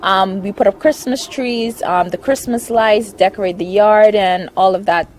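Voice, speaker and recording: A woman's voice: mid-range voice, normal speed, darkish tone, slight background noise. A young woman from the Bahamas, chatting in an interview, listing things and laughing often. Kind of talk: casual interview.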